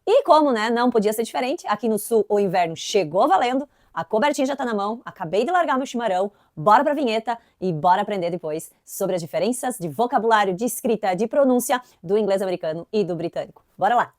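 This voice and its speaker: A woman's voice: mid-range voice, fast, hardly any pauses, no echo, clean studio sound. A young woman from southern Brazil with an inland Santa Catarina accent, teaching an English lesson in a casual, chatty way, often tagging lines with "né". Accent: Santa Catarina inland Brazilian Portuguese. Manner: chatty, frequent "né" tags, lively emphasis.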